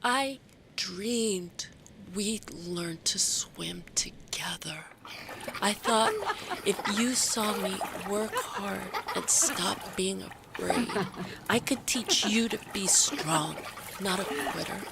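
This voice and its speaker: A woman's voice: mid-range voice, takes it slowly, creaky, very noisy. A woman playing a grieving, self-blaming but determined mother in an audio drama monologue, speaking in a raw, confessional way. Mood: anguished. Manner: raw, confessional, swearing, swinging from hushed to intense.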